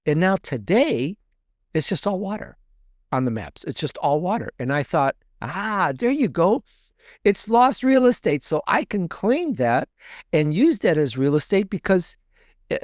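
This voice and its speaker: A man's voice: fairly high pitch, a little mellow, no room sound, studio quality. A man speaking as a guest author in a conversational interview, explaining things in an enthusiastic, rambling way. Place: video interview with book backdrop.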